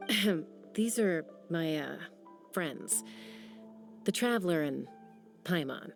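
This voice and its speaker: A woman voicing a calm, encouraging young woman warrior. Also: deep voice, slow, gravelly, music in the background, full character voice. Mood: awkward. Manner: steady, reassuring.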